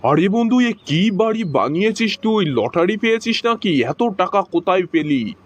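High, teasing cartoon buddy, played by a man. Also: expressive, normal speed, music in the background. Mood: bantering. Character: wisecracking male cartoon friend and dad. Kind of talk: cartoon joke skit dialogue. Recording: dull, noisy lo-fi cartoon audio.

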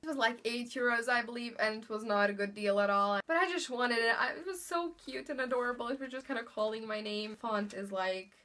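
A woman's voice: on the high side, a little fast, few pauses, fairly bright tone. A young woman with a Bosnian accent, chatting casually and cheerfully about her new books in front of a bookshelf at home. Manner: chatty, upbeat, many fillers and "really". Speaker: book vlogger. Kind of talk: casual chat. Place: indoor room in front of bookshelves. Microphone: camera in front of her.

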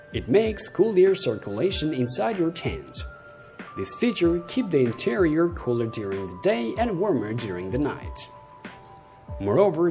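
A young man narrating a scripted product review voice-over, reading with uneven stress and occasional slips. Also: mid-range voice.

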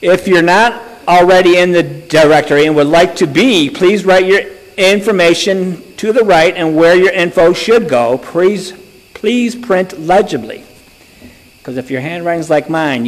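A man giving casual, joking church announcements, chatty and self-deprecating. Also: on the high side. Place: church sanctuary. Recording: long church reverb.

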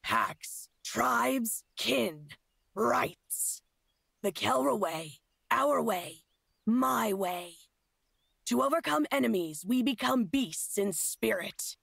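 Husky, creaky feral huntress. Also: female voice, on the high side, lively intonation, fairly bright tone, no echo, studio quality, heavily acted. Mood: solemn, proud. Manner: slow, cryptic, solemn speech. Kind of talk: fantasy game character acting.

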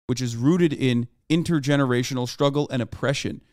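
A man's voice: medium pitch, fairly quick, a little mellow, no room sound. A man in his thirties giving emphatic, profane, lecturing political commentary on a livestream into a close desk microphone. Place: small room at home, indoors.

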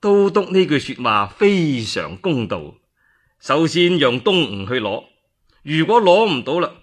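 A man voicing a calm, shrewd military strategist in Cantonese, measured and assured. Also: fairly high pitch. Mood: agreeable.

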